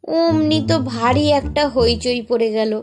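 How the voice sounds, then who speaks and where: A woman narrating a scripted children's story in an expressive storytelling manner. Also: on the high side, measured pace.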